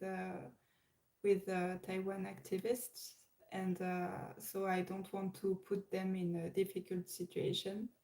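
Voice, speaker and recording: A woman's voice: mid-range voice, warm tone, rough recording. A French woman with a French accent, chatting live to viewers and hesitating often with fillers and restarts. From France. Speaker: livestream host. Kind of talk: casual live chat.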